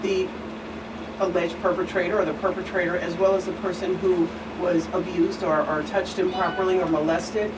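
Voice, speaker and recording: A woman speaking as a guest expert in an earnest discussion, measured and emphatic, pausing between phrases. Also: lowish voice, level tone. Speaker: guest expert, reverend and attorney. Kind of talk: earnest talk show discussion. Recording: distant mic, clear background hum.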